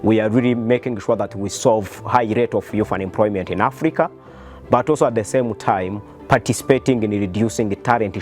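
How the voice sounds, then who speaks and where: A man with a Rwandan accent speaking as a company founder, explaining his business in an earnest interview style. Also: on the low side. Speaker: startup founder and CEO.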